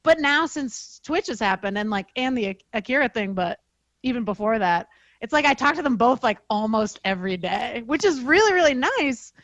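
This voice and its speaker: A woman's voice: on the high side, animated, normal speed, a bit bright, dry recording. A woman chatting informally as a panelist, slangy and self-deprecating. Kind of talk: casual chat. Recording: slightly dull, lo-fi audio.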